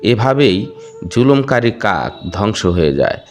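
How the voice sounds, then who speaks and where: A man's voice: on the low side, very animated, moderate pace, faint music behind. A man narrating a children's cartoon fable as a scripted storybook tale.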